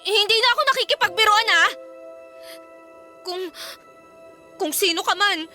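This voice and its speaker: A woman's voice: very high-pitched, moderate pace, bright, forceful tone, soft background music, exaggerated voice. An actress with a Filipino accent playing a jumpy, irritable young woman in a radio drama. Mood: annoyed, defiant.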